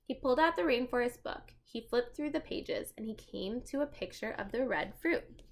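A young adult woman reading a children's book aloud in an expressive, animated manner, talking directly to her young listeners. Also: fairly high pitch, moderate pace. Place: small furnished room at home.

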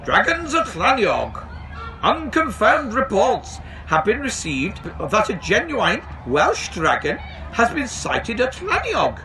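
A man's voice: sits high, voice pushed up, exaggerated voice, low-quality recording. A man voicing a soothing, wordy, formal choirmaster in a children's storybook.

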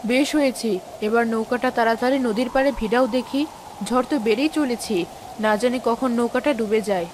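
A woman's voice: fairly high pitch, fairly quick, natural voice. A woman acting a worried, cautious village wife and mother in a cartoon drama, anxious and scolding. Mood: anxious nagging. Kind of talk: dramatic Bengali animated story acting. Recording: rainstorm noise behind voice.

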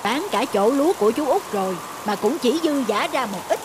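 A woman's voice: a little high, expressive, fairly quick, heavy background noise, close to an everyday voice. Woman voicing a greedy stingy older brother. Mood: curt greedy. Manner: curt and dismissive. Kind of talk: cartoon voice acting. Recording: clear background music.

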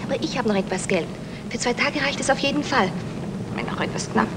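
An actress playing a headstrong, disappointed young wife in an old German radio comedy, with pointed, emphatic delivery. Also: female voice, on the high side, fairly quick, noisy background, lightly acted. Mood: hurt, challenging.

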